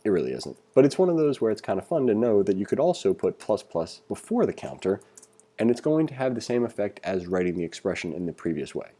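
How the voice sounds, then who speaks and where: A man's voice: fairly low pitch, very animated, fast talker, dark tone, husky. A man giving a casual screencast tutorial, reading along and thinking aloud in an informal, conversational way as an instructor.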